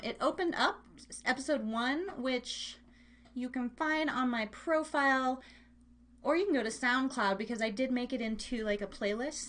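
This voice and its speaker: A woman with a high voice hosts an online radio show. Her delivery is casual and chatty, rambling along with self-deprecating asides to her listeners. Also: a bit bright. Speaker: podcast and internet radio host. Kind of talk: casual live chat to listeners.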